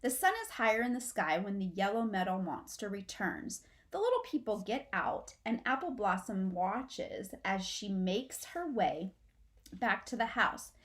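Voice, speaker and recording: Lively middle-aged woman reading a children's story. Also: mid-range voice, unhurried. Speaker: teacher reading aloud. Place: small furnished room at home, indoors.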